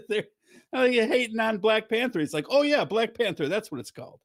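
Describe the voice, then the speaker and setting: A man's voice: high-pitched, fairly quick. A man hosting a casual podcast, joking around and telling stories with lots of energy. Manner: joking, animated storytelling. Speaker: podcast host.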